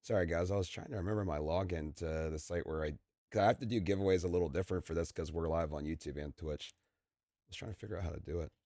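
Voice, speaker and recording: A young man with a deep, low voice, talking to his stream in a loose, rambling way. He stammers and leans on fillers as his voice grows tired, recorded dry and close with no room around him. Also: quick pace, dark tone, no room sound. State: losing his voice, clearing his throat. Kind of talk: casual live-stream chat.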